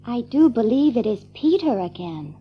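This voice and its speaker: A woman's voice: fairly high pitch, big pitch swings, moderate pace, slightly rough, highs cut off. A woman playing an earnest, trusting, matter-of-fact little girl in a children's audio drama.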